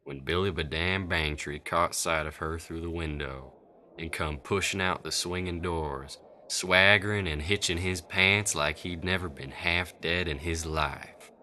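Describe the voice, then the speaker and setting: A man's voice: deep voice, a little slow, bright, forceful tone, slight background noise. A man narrating a Western folk tale for a radio drama in folksy storyteller phrasing, with music underneath. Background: guitar music.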